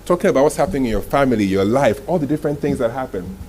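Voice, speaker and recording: A man's voice: medium pitch, animated, rapid-fire, a little mellow. A man presenting a motivational masterclass to a live audience, telling stories emphatically in short dramatic phrases with rhetorical questions. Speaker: masterclass speaker, communications executive. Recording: clearly noisy live room recording.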